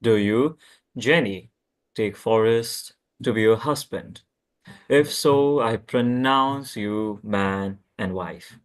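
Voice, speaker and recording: A man's voice: fairly low pitch, slow. A man voicing a solemn wedding officiant in a screenplay table read, formal and ceremonial. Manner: ceremonial, declaiming.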